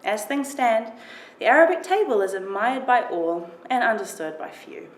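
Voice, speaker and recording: A woman giving an expressive reading of her own first-person short story. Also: a little high, normal speed. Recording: roomy echo with clear background noise.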